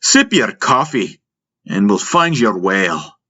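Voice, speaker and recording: A man's voice: on the low side, very animated, a little slow, pushed and bright, breathy edge, full character voice. A man voicing a wisecracking starship pilot who needles his crewmates with sarcasm. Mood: mocking.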